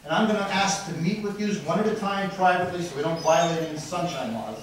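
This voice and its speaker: A man's voice: high voice, fast talker. A middle-aged man giving a heated, accusatory public comment at a council meeting, heard from far back in a large room. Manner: heated, accusatory, rising to near shouting. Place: large wood-paneled council chamber. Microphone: podium mic, recorded from far back in the room. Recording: distant, very echoey chamber, faint noise.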